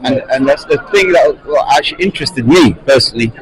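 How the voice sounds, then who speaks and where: A chatty male interviewer with a middle-pitched voice asks questions outdoors. He often stumbles and repeats words in his eagerness to keep the talk going. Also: expressive, brisk, a faint crowd, rough recording. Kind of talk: casual conference interview.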